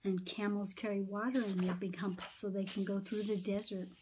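A middle-aged woman reads a rhyming children's story aloud in an expressive, dramatic manner, pausing for emphasis. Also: mid-range voice, a little hiss or hum, low-quality recording. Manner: dramatic, emphatic phrasing with pauses, explaining asides to children. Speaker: pastor reading a children's story.

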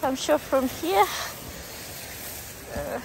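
A woman's voice: high voice, very slow, gravelly, low-quality recording. A young woman in her twenties vlogging a hike on a forest trail, chatty and giggly, switching between English and Swahili, filmed on a phone held at arm's length. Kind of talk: casual vlog narration while hiking. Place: outdoors on a forest trail near a waterfall. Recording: outdoor noise from stream and waterfall.

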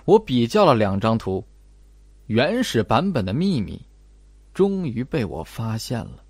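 A man's voice: mid-range voice, very animated, unhurried, a little mellow, husky, dry recording. A man narrating a mystery audiobook in a dramatic, scripted style.

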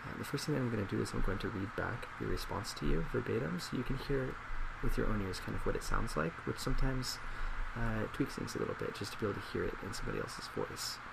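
A man in his twenties speaking in a soft ASMR role play as a psychologist, hesitant, measured and reassuring, recorded in a small office. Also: lowish voice, gravelly. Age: 20s. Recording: loud laptop fan noise.

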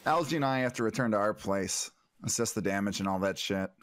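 A man playing an earnest, excitable young male superhero in a comic-book cartoon. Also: on the low side, brisk, natural voice. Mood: casual, exasperated.

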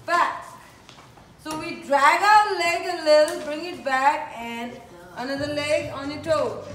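A woman's voice: sits high, slow pace, fairly bright tone, a little hiss or hum. A woman dance instructor calling out steps and counts energetically in short instructions. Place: large indoor dance studio. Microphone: distant phone camera. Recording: echoey studio, far from phone, music.

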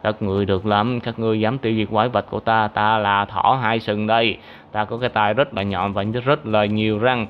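A man acts out a vicious horned cartoon rabbit. It is vengeful and indignant, drawing out its threats over clear background noise. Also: lowish voice, little pitch movement, brisk, lo-fi sound, pitched below the performer's own voice, natural voice. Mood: threatening.

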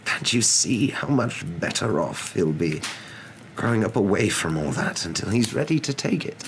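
A man playing a wise old wizard, calm, firm and measured, in a storybook reading. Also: on the low side, a little hiss or hum, pitched above the performer's own voice, poor audio. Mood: grave.

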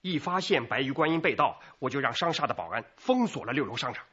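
Very husky, official-sounding store boss. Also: male voice, mid-range voice, animated, fast, sharp tone, dull audio. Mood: concerned. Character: self-important male department store general manager. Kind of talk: Chinese radio crime drama acting.

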